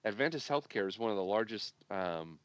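A man's voice: on the low side, lively intonation, fast talker, lo-fi sound. A man co-producing a podcast, still getting over an illness, making his points emphatically and repeating them. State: recovering from illness, deep voice. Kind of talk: casual political chat.

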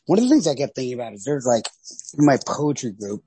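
A man's voice: medium pitch, sing-song, quick pace, warm tone, slightly rough, no echo. A man co-hosting a podcast, discussing literature casually and thinking aloud in a tentative, hedging way. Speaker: podcast co-host.

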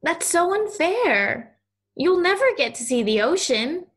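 A woman's voice: very high voice, sing-song, a little slow, a bit bright, exaggerated voice. A woman playing a kind, earnest young girl in an audio play, with a sincere, hesitant, childlike manner. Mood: pleading.

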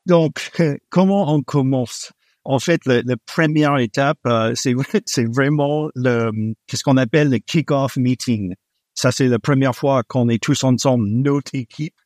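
A man's voice: mid-range voice, unhurried, no echo, studio quality. Man speaking French with a foreign accent. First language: not French. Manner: frequent hesitations with "euh", warm laughs. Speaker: guest, executive recruiter. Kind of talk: relaxed interview conversation.

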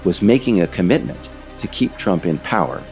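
A man narrates a scripted political account in a very low voice with a creaky edge. His delivery is deliberate and lively in intonation, pausing for emphasis, over a faint bed of music. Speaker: author and podcast narrator.

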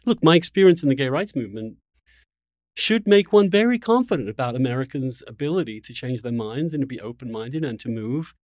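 A man hosts an opinionated conversational interview in a medium-pitched voice. He often restarts his phrases before landing them with emphasis. The recording is close and dry, with hardly any room sound. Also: expressive, brisk, darkish tone, no room sound. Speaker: podcast host.

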